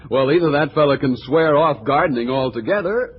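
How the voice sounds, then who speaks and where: An American man announcing and pitching products in a folksy 1940s radio style. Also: normal speed, slightly rough. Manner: folksy salesmanship. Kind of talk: 1940s radio advertising read. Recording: dull 1940s radio recording with faint music.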